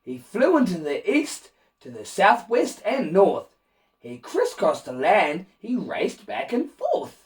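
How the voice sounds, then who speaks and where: Animated man reading a children's story. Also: sits high, slow, far from the mic. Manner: animated, with asides and questions to young listeners. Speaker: children's storybook reader and host. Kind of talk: expressive read-aloud of a rhyming children's picture book.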